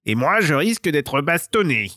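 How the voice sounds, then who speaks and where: A man's voice: fairly high pitch, very animated, moderate pace, sharp tone, no echo, studio quality, pitched above the performer's own voice. A man voicing a sly, dishonest jackal with oily, mock-courteous manners in a children's tale. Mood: scheming. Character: sly, dishonest male jackal, falsely polite.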